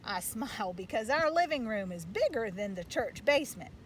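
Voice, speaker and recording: Higher-voiced woman reading a storybook. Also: normal speed, far from the mic, slight background noise. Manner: expressive storytelling. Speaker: elementary school educator reading aloud. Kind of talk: storybook narration, read aloud for children.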